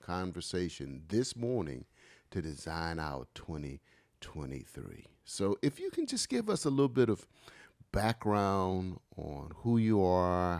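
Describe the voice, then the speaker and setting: Deep-voiced man co-hosting, dry close sound. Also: vocal fry, darkish tone, no echo. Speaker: podcast co-host. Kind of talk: conversational introduction and questions.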